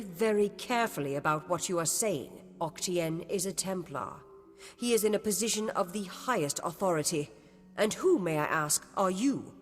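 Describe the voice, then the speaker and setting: Woman acting a stern high official, imperious, formal, mid-pitched, long hall reverb, fantasy game. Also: lightly acted. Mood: stern warning. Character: haughty female official, stern, dismissive, strictly procedural.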